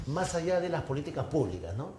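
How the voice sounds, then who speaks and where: A man's voice: fairly high pitch, big pitch swings, fast. A man interviewing a writer, asking long, rambling questions full of tag questions. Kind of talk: conversational interview. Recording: roomy, with clear background noise.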